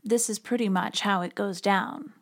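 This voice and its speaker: A woman's voice: medium pitch, normal speed, very noisy. Woman podcaster explaining religious stuff, informal, like a chatty script. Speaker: podcast host. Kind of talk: conversational scripted religious commentary.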